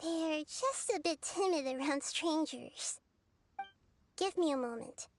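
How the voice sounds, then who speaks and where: A woman voicing a gentle, caring little girl deity in a video game, soft-spoken, earnest and reassuring. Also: high voice, slow, no echo. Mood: gentle reassurance.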